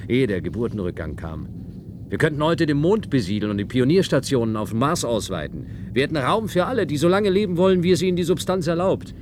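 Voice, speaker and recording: Male actor as fervent power-hungry man, medium voice, radio drama, clear vehicle rumble behind. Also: natural voice. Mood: fervent, excited. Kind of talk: German radio play acting.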